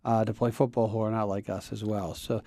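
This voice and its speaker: A man's voice: fairly low pitch, level tone, fairly quick, dark tone, no room sound. A man hosting a casual podcast, teasing his co-host and steering the talk.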